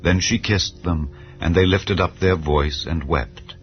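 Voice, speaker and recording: Deep-voiced man narrating scripture. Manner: solemn, measured storytelling. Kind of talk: scripted dramatized Bible reading. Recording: slightly dull, soft music behind.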